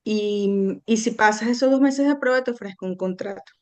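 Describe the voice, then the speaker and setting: A woman's voice: mid-range voice, moderate pace. A middle-aged woman from Venezuela, a guest recounting her own story in an earnest, reflective way with frequent hesitations. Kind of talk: personal storytelling in an interview.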